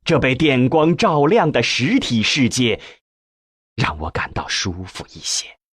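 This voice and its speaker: A male audiobook narrator reads scripted fiction slowly and deliberately. His husky voice moves widely in pitch for dramatic storytelling, in a very dry, close recording. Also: very animated, a bit bright, no room sound. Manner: measured, deliberate phrasing.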